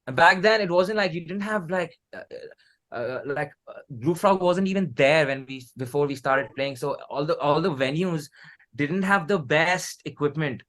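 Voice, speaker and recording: A man's voice: a little high, moderate pace, a bit bright. A man in his thirties with an Indian accent, chatting casually as an interview guest. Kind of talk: casual chat.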